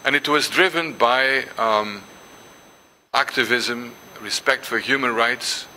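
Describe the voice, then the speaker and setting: An older man whose first language is Dutch gives a symposium lecture, pausing often with ums and restarts and reading passages aloud. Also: mid-range voice, bright, forceful tone, a little hiss or hum, rough recording. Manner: hesitant, many ums and restarts. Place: lecture hall.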